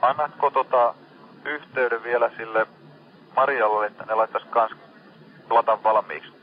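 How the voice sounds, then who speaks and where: A man's voice: mid-range voice, steady tone. A man with a Finnish accent, speaking as a maritime rescue center operator in radio dispatch style. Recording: dull band-limited radio channel.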